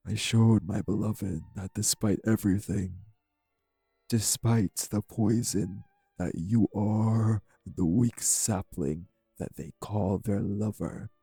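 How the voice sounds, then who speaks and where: A man playing an arrogant, obsessive vampire villain in an audio drama, with a dark, raspy voice and grandiose, measured menace. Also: fairly low pitch, full character voice. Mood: obsessive, haughty. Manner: grandiose, formal, measured threats. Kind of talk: dramatic audio roleplay acting.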